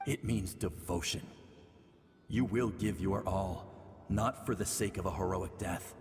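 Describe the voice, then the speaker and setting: An actor playing a grave male mentor in a video game, making solemn, deliberate ceremonial proclamations. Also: deep voice, darkish tone, in a large hall. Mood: solemn, exhorting. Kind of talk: scripted video game voice acting.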